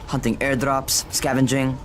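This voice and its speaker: An actor playing a brash, touchy young survivor in a video game cutscene, blunt, sarcastic and scolding. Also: male voice, mid-range voice, flat delivery, moderate pace, slight background noise, close to an everyday voice. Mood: testy. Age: young adult.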